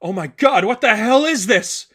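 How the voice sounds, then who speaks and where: Man voicing a frantic young woman, pitched high. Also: lively intonation, moderate pace, fairly bright tone, breathy edge, heavily acted. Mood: panicked, bewildered. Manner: frantic, stammering, exclaiming. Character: panicked, bewildered young woman, just rescued.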